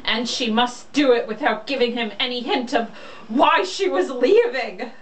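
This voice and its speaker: A woman speaks at an unhurried pace with blunt sarcasm, moving between casual chat and mocking read-aloud. Her voice sits a little high. She is recorded at some distance from the mic, with faint noise behind her. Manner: blunt, sarcastic, swears freely. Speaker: co-host reading a romance novel aloud.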